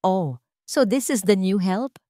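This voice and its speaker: A woman's voice: medium pitch, measured pace, mellow, dry recording, natural voice. Woman narrator doing a snooty rich glam lady in a romance story, affected and scornful, dry sound. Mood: sneering. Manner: contemptuous, affected English-mixed speech. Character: haughty, sneering rich glamorous young woman.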